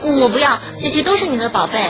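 A woman plays a guileless schoolgirl best friend in a radio drama. Her voice is very high and swings animatedly, cute and full of feeling. It is a slightly muffled recording with music behind. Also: expressive, fast. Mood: upset, pleading. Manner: cute, girlish, emotive. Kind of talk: Chinese radio drama acting. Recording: dull lo-fi audio under clear music.

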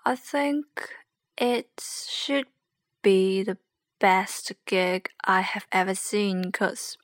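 A young woman with a Chinese accent, rambling hesitantly and softly in a late-night solo podcast. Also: mid-range voice, extremely slow, no echo, studio quality. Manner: hesitant, rambling, soft and sleepy. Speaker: podcast host.

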